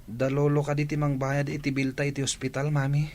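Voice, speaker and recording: Actor as rueful young son, higher-pitched. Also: male voice, monotone, brisk, darkish tone, lightly acted. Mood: anxious. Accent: Filipino, Ilocano. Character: young son, spendthrift now broke and rueful. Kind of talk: Ilocano radio drama acting.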